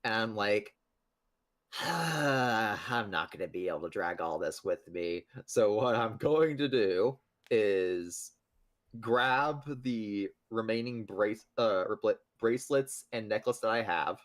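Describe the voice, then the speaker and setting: A man's voice: fairly low pitch, lively intonation, takes it slowly, fairly bright tone. A man playing a tabletop role-playing game, casually chatting and describing his character's moves. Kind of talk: casual role-play narration and chat.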